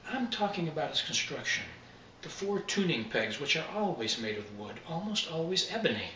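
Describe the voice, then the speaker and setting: A man reading aloud, voicing a sharp, teasing woman who is a bone expert. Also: sits low, sing-song, moderate pace, a little hiss or hum, pitched above the performer's own voice, heavily acted. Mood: matter-of-fact. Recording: roomy echo, distant mic.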